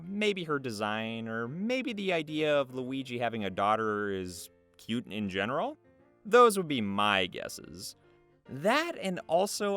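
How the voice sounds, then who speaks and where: A man's voice: mid-range voice, a bit bright. A man reading out interview questions and answers in a casual, jokey, playful way. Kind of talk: casual scripted interview narration. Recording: music behind the voice. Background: video game music.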